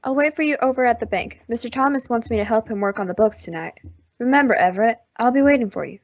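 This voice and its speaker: A woman's voice: fairly high pitch, quick pace. A teenage woman playing an encouraging, determined young frontier woman in an old-time western radio drama. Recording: dull lo-fi radio recording.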